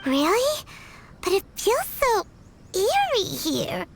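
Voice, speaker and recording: A tiny childlike fairy companion, squeaks at an extremely high pitch in cartoonish game style. She swings widely in pitch with timid, excitable reactions, yet draws her words out a little slowly. Music is clearly audible behind her. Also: exaggerated voice. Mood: uneasy. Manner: third-person self-reference, exaggerated reactions. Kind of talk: cartoonish video game voice acting.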